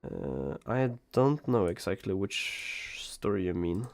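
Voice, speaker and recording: Male streamer for casual gameplay talk: slow, hesitant, crackly low-effort phrasing over light noise. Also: sing-song. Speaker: livestreamer. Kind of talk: casual gameplay commentary with chat replies.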